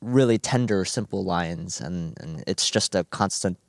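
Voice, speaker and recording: A man in his twenties from New Jersey with a very deep voice. He talks about music eagerly and earnestly, filling his pauses with uhs and ums. The sound is dry and close. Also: creaky, dry recording. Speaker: cellist, music student. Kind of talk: radio interview. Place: radio performance studio.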